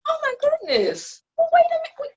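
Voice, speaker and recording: A woman's voice: very high-pitched, huge pitch swings, a little fast, muffled recording, exaggerated voice. A woman acting a haughty, dismissive sister with a mocking, condescending delivery in a dramatic play reading.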